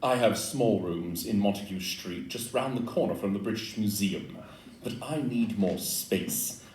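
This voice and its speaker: An actor playing a precise, dry, reserved Victorian gentleman detective in a stage audio play, speaking formally. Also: fairly low pitch, unhurried, a little hiss or hum, natural voice. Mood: measured, candid. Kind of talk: audio-play acting before an audience. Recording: roomy, distant live pickup.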